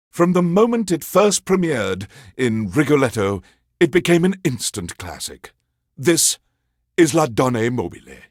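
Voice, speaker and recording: An actor playing a pompous alien warlord who hosts a classical music radio show, savoring each phrase in a grandiose, theatrical way. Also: male voice, a little high, no room sound, studio quality. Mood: smug, grand. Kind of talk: theatrical scripted radio DJ monologue.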